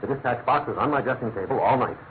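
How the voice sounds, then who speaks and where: An actor playing an earnest, anxious British government minister in a classic radio drama, speaking formally and emphatically. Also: male voice, medium pitch, fast, natural voice. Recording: thin narrow-band old radio recording, faint noise.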